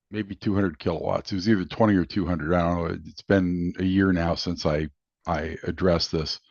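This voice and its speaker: A low male voice talks off the cuff, explaining things in a halting, rambling fashion. The sound is dry and close, with a dull, lo-fi finish. It has the feel of a hobbyist's homemade video commentary. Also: darkish tone, no room sound. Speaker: video host and amateur radio hobbyist. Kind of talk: casual unscripted explanatory commentary.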